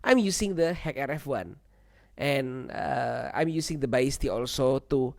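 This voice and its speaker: A man who speaks English as a second language presents an informal software walkthrough, repeating himself and often checking in with the listener. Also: mid-range voice, lively intonation, measured pace, gravelly. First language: not English. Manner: repetitive, seeks agreement with "okay" and "right". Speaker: tech tutorial presenter.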